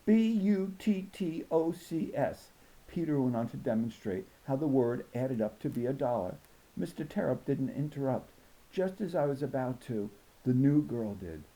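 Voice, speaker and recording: A man reading a children's novel aloud as an expressive first-person narrator. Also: medium pitch, normal speed, low-quality recording. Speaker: audiobook reader.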